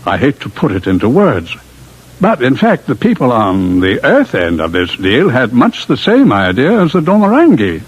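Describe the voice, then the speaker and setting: Casting: a courtly, hesitant senior diplomat, husky and animated, vintage sci-fi radio play. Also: male voice, mid-range voice, moderate pace. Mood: smooth, reassuring. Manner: suave, courteous, hesitant, formal. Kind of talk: 1950s radio drama acting. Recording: muffled vintage radio broadcast.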